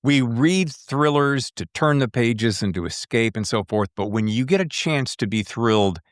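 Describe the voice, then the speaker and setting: An American man hosting a conversational interview, reflective and halting as he circles back through his thoughts. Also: medium pitch, lively intonation, dry recording, studio quality. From American South. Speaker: podcast host interviewing an author.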